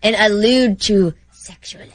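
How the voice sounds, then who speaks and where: A woman's voice: medium pitch, a little slow. A woman co-hosting a radio show, chatting casually with her colleagues and callers.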